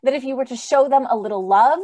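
Radio drama heroine, female actor: young, earnest, idealistic crusader with a fairly high voice. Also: fast talker, mellow, lightly acted. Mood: earnest, challenging. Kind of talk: old-time radio drama acting.

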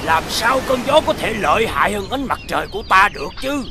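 A man's voice: high voice, big pitch swings, fast, noisy background. A man voicing a proud, competitive sun spirit in a children's cartoon, boastful and self-important, with a Southern Vietnamese accent. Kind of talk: children's animated dubbing. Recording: music behind the voice.

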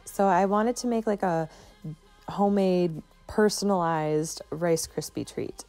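Woman vlogger, casual voice-over, mellow low tone, slight fry, faint music behind. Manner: chatty, relaxed, frequent "like" and "you know". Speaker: stay-at-home mom vlogger.

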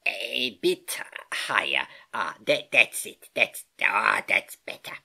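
A storybook narrator voicing an elderly, jovial uncle who eagerly and patiently explains science, with animated exclamations and hesitations. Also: mid-range voice, moderate pace, slightly rough, pitched above the performer's own voice. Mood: relieved. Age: older.

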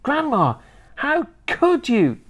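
A woman's voice: high-pitched, huge pitch swings, slow, voice pushed up, full character voice. A woman voicing an earnest eight-year-old boy in a children's storybook, plaintive, innocent and objecting. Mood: disgusted.

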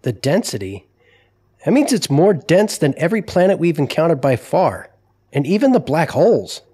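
A curious, excitable male space explorer, played by an actor, in sci-fi audio drama. His voice is high and lively, with a husky edge. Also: normal speed. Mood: curious, surprised.

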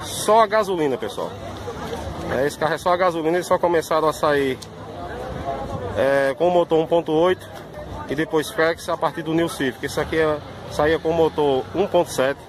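A man's voice: fairly high pitch, moderate pace. A man, giving a casual, informal walkaround of cars at an outdoor car fair, filmed on a phone. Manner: chatty, informal, repeats "pessoal". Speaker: car vlogger. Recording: outdoor street noise behind. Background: traffic and crowd ambience.

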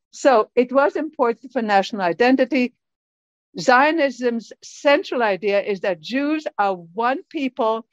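A middle-aged woman who grew up in Israel leads a study group, reading from a book and quizzing her listeners with lively questions. Her voice sits fairly high. The recording is dry and close, with a dull, muffled tone. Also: no echo. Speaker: teacher leading a study group. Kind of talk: informal lecture reading from a book.

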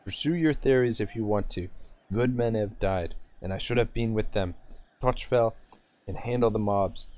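A man's voice: fairly low pitch, moderate pace, a little mellow, close to an everyday voice. A man reading aloud as a stern, wrathful lord commander who snaps out orders. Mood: angry commanding.

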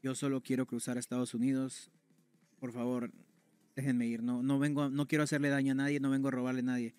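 A man's voice: medium pitch, level tone, fast talker, a little mellow, smooth, dry recording, faint music behind. A young man streaming from a room at home, casually telling a personal story to his chat in a slangy, conversational way. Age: young adult. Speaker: game streamer.

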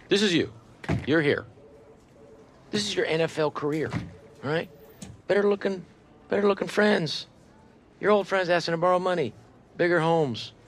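A man playing a smooth-talking, scheming middle-aged football general manager in a cinematic game, with a chummy salesman's manner. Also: mid-range voice, a little hiss or hum, natural voice. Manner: salesman-like, chummy, fast-shifting emphasis. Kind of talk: naturalistic cinematic game acting.

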